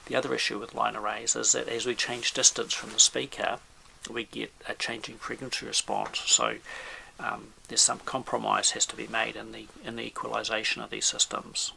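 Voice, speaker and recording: A man presents a technical explainer in a sharply bright, heavily creaky voice. He speaks in a measured, halting way with little lift in his intonation. There is faint noise behind him. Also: on the low side, low-quality recording. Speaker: audio expert presenter. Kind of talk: informal technical explainer talk.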